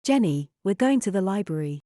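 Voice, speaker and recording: A mellow, courteous young mother acted by a woman for an audio drama. She is well-spoken and a little hesitant. The sound is close and dry, at a medium pitch. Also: lively intonation, brisk, no room sound, close to an everyday voice. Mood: resolved. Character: Susan, polite hesitant young mother and wife.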